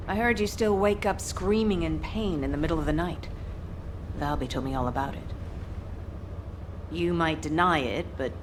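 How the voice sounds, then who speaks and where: Actress as veteran female game officer, low voice, gentle, steady, earnest, noisy ambience behind. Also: moderate pace, long pauses, natural voice. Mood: concerned. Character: seasoned female former captain, caring, calm and steady. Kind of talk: video game voice acting.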